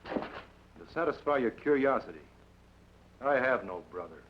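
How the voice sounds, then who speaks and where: A stern, menacing outlaw chief played by a man in an old radio drama. His mid-pitched voice is curt and commanding. The recording is muffled and faintly hissy. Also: expressive, a little slow, lightly acted. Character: stern, cold outlaw boss giving orders, a man.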